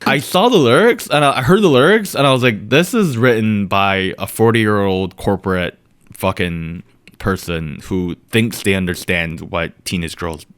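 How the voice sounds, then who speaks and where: A man's voice: on the low side, normal speed, a bit bright, clear, resonant tone. A man whose first language is Korean co-hosts a casual podcast chat. He rambles and swears, and he leans hard on fillers like "like" and "you know.". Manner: rambling, profane, heavy on fillers. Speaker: podcast co-host.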